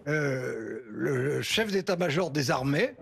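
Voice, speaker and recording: A man who is a guest on a TV debate, telling an anecdote in a halting way with restarts and fillers. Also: on the high side, animated, gravelly, breathy edge. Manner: storytelling, halting with repeats and fillers. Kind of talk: anecdote on a TV panel.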